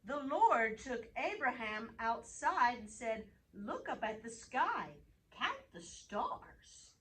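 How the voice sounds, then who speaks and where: A woman hosting a children's Bible story time, animated as she reads and chats directly to young listeners. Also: fairly high pitch, slow pace. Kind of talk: reading bedtime Bible stories to children, chatting with a puppet. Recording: distant mic, faint background noise.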